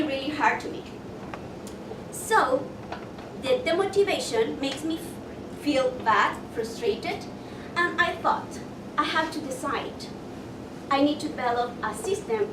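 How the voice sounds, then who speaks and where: High-voiced woman leading a workshop. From Ecuador. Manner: slow and deliberate, asks the audience questions. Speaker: workshop presenter. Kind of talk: motivational workshop talk. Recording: echoey room, mic far off, noisy.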